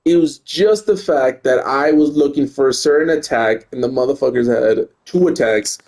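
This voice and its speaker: A man's voice: mid-range voice, moderate pace, darkish tone. Young male streamer, mid-range voice, loose rambling play-by-play with frequent stutters and cursing. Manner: rambling, stutters and repeats words, swears often. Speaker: gaming streamer. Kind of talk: casual gameplay commentary.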